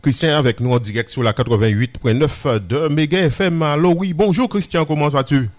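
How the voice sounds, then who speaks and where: A man hosting a live radio show, introducing and chatting with a guest in a relaxed, talkative way. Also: a little high, brisk. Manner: relaxed, chatty, nostalgic asides. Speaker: radio host. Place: radio studio. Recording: radio broadcast audio.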